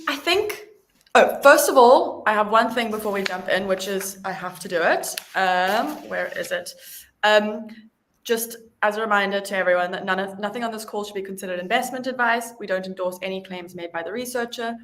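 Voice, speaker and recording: A woman's voice: mid-range voice, moderate pace, a bit bright. A woman with a South African accent hosts an online discussion in a casual, conversational style. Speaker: host.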